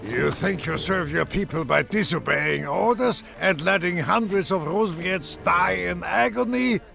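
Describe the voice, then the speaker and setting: Very gravelly old war veteran. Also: male voice, high voice, music in the background, full character voice. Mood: stern. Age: older. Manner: stern, reproachful, measured. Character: principled, weary old German war veteran.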